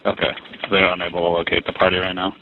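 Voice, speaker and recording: Deep, very fast-talking male dispatcher. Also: completely flat delivery. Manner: procedural questioning. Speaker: sheriff's office dispatcher. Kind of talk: emergency call handling. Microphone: telephone. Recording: narrow, tinny recorded phone line.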